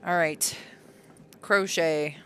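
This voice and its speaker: A woman's voice: low voice, fairly even intonation, extremely slow, slight background noise. A woman in her thirties chatting casually on a live stream, rambling and laughing at herself as she goes. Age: 30s. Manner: rambling, self-amused, laughs often.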